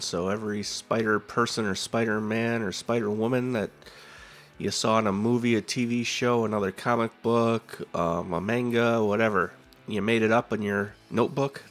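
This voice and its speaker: A man's voice: on the low side, steady tone, normal speed, a bit bright. A man with a cold, sounding nasal, chatting casually as the host of a drawing video and telling comic book history with plenty of fillers. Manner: rambling, easygoing fan storytelling with fillers.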